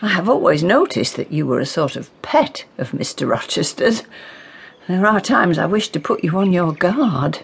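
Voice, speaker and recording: An anxious older housekeeper. Her voice is creaky and rough-edged, rising and falling expressively. She sounds hesitant and motherly, in the manner of period radio drama. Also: lowish voice, sing-song, normal speed, heavily acted. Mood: worried, cautioning. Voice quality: husky, creaky. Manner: hesitant, concerned. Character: anxious, cautious, motherly housekeeper warning a young governess.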